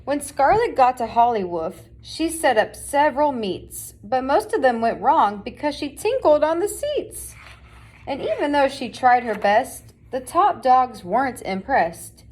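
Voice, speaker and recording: A woman's voice: high voice, sing-song, measured pace. A woman reading a children's picture book aloud as scripted narration. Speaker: storybook reader.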